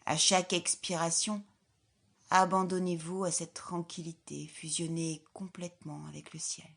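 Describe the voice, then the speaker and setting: A woman leading a guided yoga nidra relaxation as an instructor, in a calm, soothing, measured way with soft, breathy phrase endings. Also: lowish voice. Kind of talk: guided relaxation meditation, scripted.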